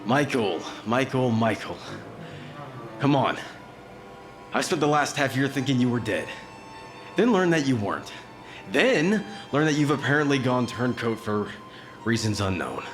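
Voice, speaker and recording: An actor playing a weary, desperate occult detective in a dark noir audio drama, with a wry, dramatic delivery. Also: male voice, medium pitch, very animated, measured pace, pauses often. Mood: pleading. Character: weary, desperate male occult detective narrating his fight. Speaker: lead actor and narrator. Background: music.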